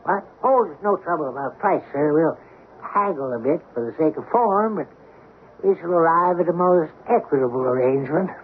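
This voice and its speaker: A man's voice: fairly high pitch, animated, normal speed, natural voice. A man playing an oily, sanctimonious, grasping coin dealer in a florid, unctuous radio drama style. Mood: obsequious. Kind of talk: old-time radio drama acting. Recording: dull, noisy old radio broadcast.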